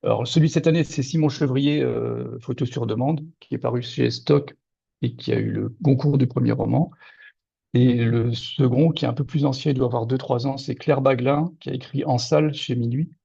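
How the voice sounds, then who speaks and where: An older man, a native Lorraine Platt speaker from Moselle, talking about books in a hesitant, animated way with many restarts over a video call. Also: medium pitch, normal speed, vocal fry, husky. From Moselle-Est, France. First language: Lorraine Franconian (Platt). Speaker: author. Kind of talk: casual literary discussion. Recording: dull lo-fi video call audio.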